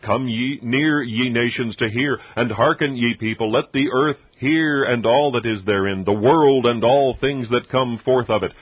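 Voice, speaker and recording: Low male voice reading scripture stuff like an audiobook, solemn n preachy, tinny narrow sound, super dry. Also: unhurried, few pauses, no room sound. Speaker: audiobook reader. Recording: dull muffled radio-like sound, very dry.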